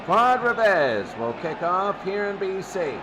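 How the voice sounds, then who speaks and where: A man's voice: high voice, big pitch swings, a little slow. A man calling an American football game as a radio play-by-play announcer. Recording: clearly noisy background throughout.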